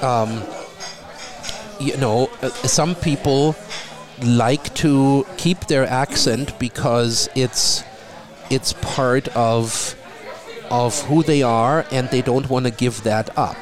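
A middle-aged man from Germany with a German accent, talking in a relaxed conversation and often repeating a word as he starts a thought. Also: mid-range voice. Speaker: guest. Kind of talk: casual conversation.

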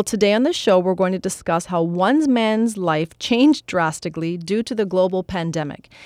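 A woman's voice: mid-range voice, darkish tone, dry recording, studio quality. A woman hosting a radio health show, reading a scripted intro and asking interview questions.

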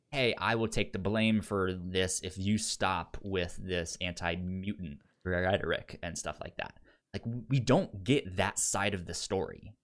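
Low-pitched man, stammering podcast talker. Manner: stammering, repeats syllables and words. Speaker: podcast co-host. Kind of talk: casual review discussion.

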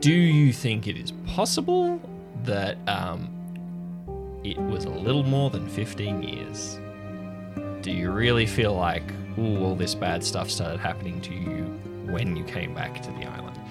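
A man's voice: low voice, very animated, measured pace, creaky, loud music behind the voice, heavily acted. A man playing a kind, thoughtful listener in an improvised audio drama, feeling out his words hesitantly. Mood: gently probing. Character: gentle counselling acquaintance, slightly awkward, thoughtful, kind.

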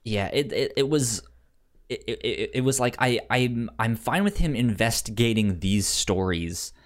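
A man co-hosting a casual film discussion podcast, halting and repeating words as he works out his opinions. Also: medium pitch, lively intonation. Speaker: podcast co-host reviewing a film.

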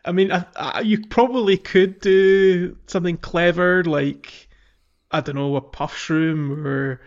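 Male podcast co-host, higher register, casual gaming chat, slightly muffled audio. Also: steady tone, unhurried.

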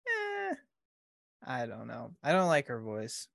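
A man's voice: mid-range voice, huge pitch swings, slow, vocal fry, fairly bright tone. A man co-hosting a casual podcast, easygoing and teasing, laughing often and hedging with fillers.

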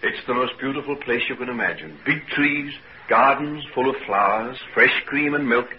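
Actor as earnest, kindly doctor, muffled old radio. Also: male voice, mid-range voice, animated, moderate pace, close to an everyday voice. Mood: tender, coaxing. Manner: gentle, reassuring, solemnly determined. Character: earnest, kindly male doctor soothing a child patient. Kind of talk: 1940s Australian radio drama acting. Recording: muffled, noisy 1940s radio recording.

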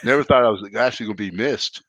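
A man's voice: mid-range voice, rapid-fire, fairly bright tone, dry recording, poor audio. A congested, stuffed-up man chatting casually on a podcast as co-host, rambling and self-mocking with many restarts.

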